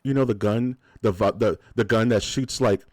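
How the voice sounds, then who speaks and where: A man co-hosting a movie podcast in a fairly low voice. He keeps things laid-back and jokey, with quick laughs between phrases. The close mic is dry, with no room sound. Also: a little mellow, no room sound. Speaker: podcast co-host. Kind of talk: casual podcast chat about films.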